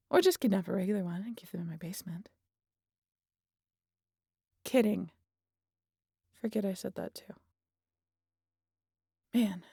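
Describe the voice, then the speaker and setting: A woman playing a wry, guarded vampire who teases and confides in an intimate audio roleplay, casual and sweary, often sinking to a breathy near-whisper. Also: mid-range voice, dark tone, no echo, studio quality. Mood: teasing, musing. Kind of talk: intimate audio roleplay monologue addressed to the listener.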